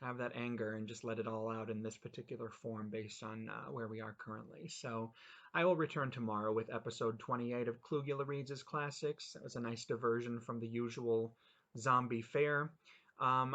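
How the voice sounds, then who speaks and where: A man's voice: medium pitch, steady tone, moderate pace, bright, forceful tone, lo-fi sound. A man in his forties hosting a reading of his own short story, chatting casually with wry, self-mocking asides. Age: 40s.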